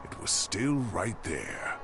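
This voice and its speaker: An actor playing a wistful, fond old professor in a video game, with a gravelly voice and theatrical delivery. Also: male voice, on the low side, big pitch swings, slow, noisy background, exaggerated voice. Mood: fond, amused. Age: older.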